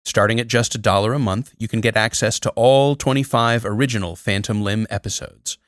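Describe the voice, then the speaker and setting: Male podcast host, fairly low voice, close dry sound, scripted support pitch. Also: a little fast, dry recording. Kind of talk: scripted promotional read.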